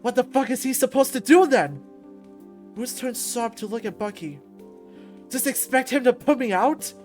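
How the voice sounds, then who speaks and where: A woman voicing a loud, brash, burly male fighter who taunts and shouts. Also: on the high side, animated, normal speed, soft background music, natural voice. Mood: angry. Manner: boisterous, taunting.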